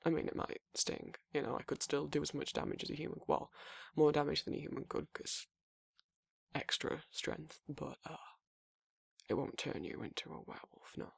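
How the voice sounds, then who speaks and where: Man acting a very gravelly werewolf boyfriend. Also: on the high side, no echo, heavily acted. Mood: casual, reassuring. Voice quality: gravelly, husky. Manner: halting, rambling, casual swearing. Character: gruff, laid-back male werewolf boyfriend explaining monster lore. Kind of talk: intimate ASMR roleplay monologue.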